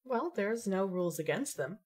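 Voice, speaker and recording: Woman playing a composed, lively-pitched young woman. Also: moderate pace, husky. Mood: defensive. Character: composed, dry-witted young woman, precise and guarded. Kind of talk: fan fiction podcast acting.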